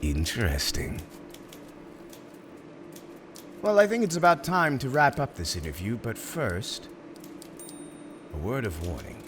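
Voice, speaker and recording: A man's voice: mid-range voice, very animated, moderate pace, a bit bright, slight background noise. A man playing an urbane, smug supervillain in an ASMR roleplay, speaking in a courteous, theatrical and emphatic way. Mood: businesslike, probing. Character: urbane, smug male supervillain interviewing a prospective minion.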